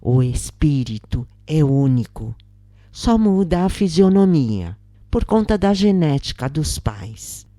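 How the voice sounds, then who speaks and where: A woman voicing a gentle, consoling older mother figure in a spiritual story reading. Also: sits low, very animated, takes it slowly, dry recording, studio quality, exaggerated voice. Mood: calm, explaining. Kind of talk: dramatised first-person story reading.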